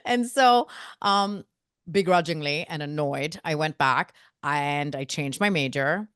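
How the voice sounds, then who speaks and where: A woman from India, a guest on a marketing interview, telling her career story in a lively, self-mocking way. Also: low-pitched, a little slow, smooth, no echo, clean studio sound. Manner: lively, self-mocking, quotes people in voices. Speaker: guest, marketing agency co-founder.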